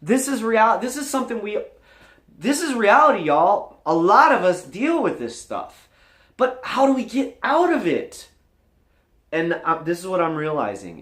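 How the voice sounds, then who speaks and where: A man's voice: high-pitched, fairly bright tone. A man giving an earnest, emphatic motivational talk to camera as a vlog host, encouraging his viewers. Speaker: vlogger, channel host. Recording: roomy indoor echo.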